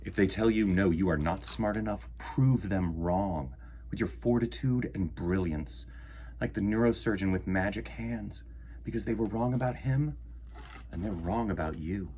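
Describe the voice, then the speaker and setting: Male storytime host, lowish voice, measured children's book read-aloud, faint noise behind. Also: mellow.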